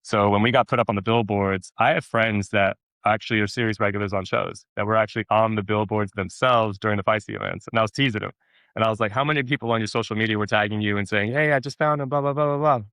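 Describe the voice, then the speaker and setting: Male actor as interview guest: low voice, rapid delivery, animated storytelling, close dry sound. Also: dry recording. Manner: animated storyteller, repeats words for emphasis and acts out quotes. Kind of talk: casual interview chat.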